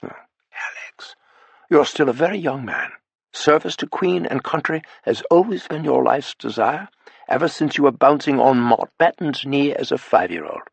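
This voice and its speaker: A man voicing a gracious, affectionate aristocratic hostess who speaks softly and fondly. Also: mid-range voice, lively intonation, moderate pace, vocal fry, breathy edge, no echo.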